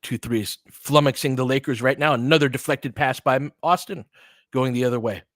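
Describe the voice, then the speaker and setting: A man's voice: medium pitch, a little fast, a bit bright, no echo, studio quality. A man calling a basketball game in a conversational, slangy way, swearing casually as he mixes play-by-play with analysis. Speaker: podcast host doing play-by-play.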